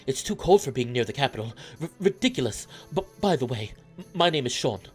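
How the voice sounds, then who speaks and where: A man's voice: mid-range voice, lively intonation, fast talker, a bit bright, soft background music, voice pushed up, lightly acted. A man voicing a polite, fretful goat servant in a story, stammering as he shivers. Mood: shivering. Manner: stammering, breathless with cold.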